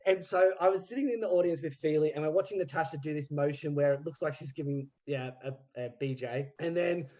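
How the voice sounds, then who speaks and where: A man in casual podcast chat about theatre, telling stories in an animated way and laughing often. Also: fairly high pitch, fast talker, muffled recording. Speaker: theatre group member.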